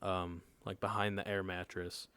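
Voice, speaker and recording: A man's voice: deep voice, flat delivery, moderate pace, bright, forceful tone, clear, resonant tone, dry recording. A nineteen-year-old man telling a personal story in casual podcast chat, laid-back and often checking in with his listeners. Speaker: podcast co-host.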